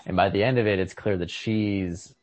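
A man in a casual book discussion, speaking haltingly as he searches for words, on a lo-fi recording. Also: low voice, fairly even intonation, dry recording. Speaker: podcast host. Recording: lo-fi home recording.

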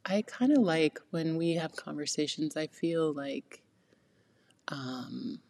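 A woman's voice: deep voice, animated, slow, gravelly, darkish tone. A woman co-hosting a podcast in casual, chatty conversation, lying on the floor of a yoga studio. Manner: chatty, laughs often, fillers. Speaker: podcast co-host.

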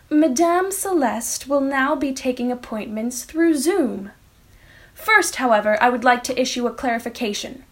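A woman reading a comic monologue aloud with a mock-grand, formal manner. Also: high voice. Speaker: writer reading own comic piece.

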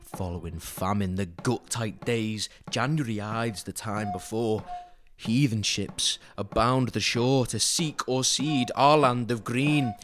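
An actor playing a grave chronicler, declaiming dire alliterative verse in a solemn, measured way. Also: male voice, on the low side, fairly bright tone. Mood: grave foreboding. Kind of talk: dramatic alliterative verse recitation. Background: music.

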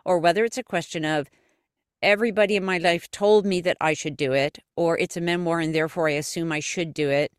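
A woman guest on a podcast explaining how her audiobook company works, steady and explanatory with many fillers. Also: fairly low pitch, fairly quick, clean tone, no echo, clean studio sound. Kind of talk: casual interview chat.

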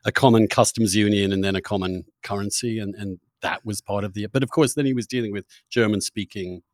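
A man's voice: fairly low pitch, fairly even intonation, no room sound, studio quality. A man hosting a conversational interview, asking probing questions and often rephrasing them as he goes. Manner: halting, rephrases questions repeatedly. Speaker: interviewer, podcast host.

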